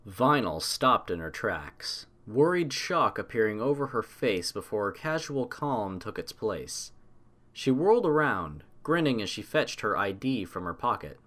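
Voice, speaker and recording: A man's voice: on the low side, very animated, unhurried, a bit bright. A man reading scripted fiction as the narrator of an audio story.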